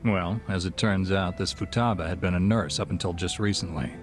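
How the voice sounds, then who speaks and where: An actor playing a calm, shrewd, discreet bartender in a video game, speaking in a measured, dry manner. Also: male voice, low voice, music in the background. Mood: measured, knowing. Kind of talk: dramatic game cutscene acting.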